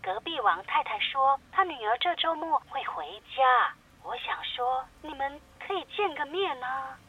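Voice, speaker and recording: A woman's voice: sits high, expressive, normal speed. A woman playing a nagging, melodramatic middle-aged mother in a comedy radio drama, heard through a telephone filter. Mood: wheedling. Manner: wheedling, dramatic, chatty. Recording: tinny band-limited phone-call sound.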